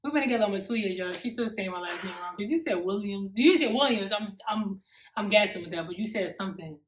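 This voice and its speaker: A woman's voice: mid-range voice, animated, fast talker. A woman in her twenties with an American accent, bantering casually to camera in a teasing, slangy way. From Newark, New Jersey, United States. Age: 20s. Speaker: YouTube couple vlogger. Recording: echoey untreated room.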